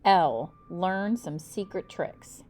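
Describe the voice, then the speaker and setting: A woman's voice: on the low side, lively intonation, slow pace, darkish tone, faint music behind. A woman reading the part of a confident uncle who instructs children in survival tips, in a children's storybook. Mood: confident, instructive. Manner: emphatic, instructive. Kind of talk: children's storybook read-aloud.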